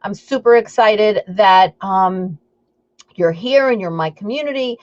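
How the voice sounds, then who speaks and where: A woman's voice: medium pitch, measured pace, muffled recording. A woman with a Long Island accent hosting a live stream as an eating coach, chatting upbeat and rambling with lots of fillers and asides to her viewers. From Long Island, New York.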